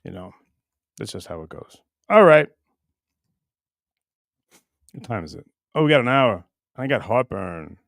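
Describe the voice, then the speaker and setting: A middle-aged man hosting a livestream with casual, rambling chat, blunt and crude in his joking, going between muttering and loud bursts. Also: medium pitch, big pitch swings, a little slow, vocal fry, pauses often, no echo, studio quality. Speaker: livestream show host.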